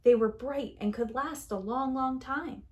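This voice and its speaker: Higher-voiced woman reading a children's story. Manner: deliberate, expressive storytelling with emphasized words. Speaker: preschool teacher reading a picture book aloud. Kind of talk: children's story read-aloud.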